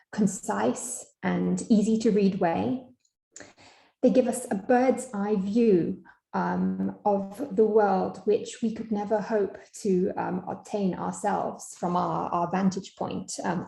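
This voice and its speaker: A young Scottish woman giving an online academic lecture over a video call, measured, with frequent ums. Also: mid-range voice, creaky, dark tone, reverberant, rough recording. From Scotland.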